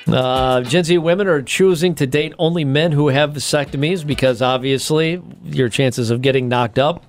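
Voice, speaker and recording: A man's voice: a little high, normal speed, faint music behind. A man co-hosting a casual comedy podcast, reading out tips and facts with wry, offhand asides and casual swearing.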